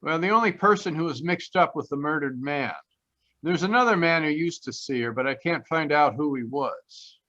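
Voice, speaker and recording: Here is a man performing a wisecracking gumshoe in a golden-age radio mystery. His voice is fairly high and punchy, cocky in delivery, with the dulled sound of an online call. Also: moderate pace, pushed and bright. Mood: breezy, teasing. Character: wisecracking, cocky male private detective. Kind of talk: old-time radio noir narration and dialogue. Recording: dull online video-call audio.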